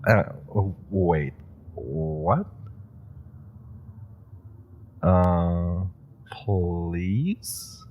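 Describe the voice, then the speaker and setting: Man voicing a nervous young super-powered rookie, deep voice, slow, rambling, laughing nervously. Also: muffled recording, a little hiss or hum. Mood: hurt. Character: young adult male super-powered rookie, eager, awkward. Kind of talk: audio roleplay acting.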